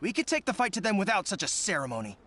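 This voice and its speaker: A man's voice: sits high, big pitch swings, rapid-fire, a bit bright, full character voice. A man playing an earnest, loyal young resistance commander in a video game, formal and rousing. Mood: grave, advising.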